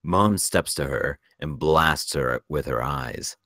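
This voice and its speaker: A man's voice: very deep voice, slow, a bit bright, no echo, clean studio sound. A man reading screenplay stage directions as narrator at a table read.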